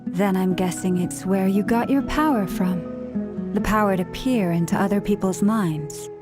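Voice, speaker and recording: A woman voicing a gentle, polite, perceptive young companion in a video game, soft-spoken and calm. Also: medium pitch, unhurried, natural voice. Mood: calm, curious. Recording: music playing behind the voice.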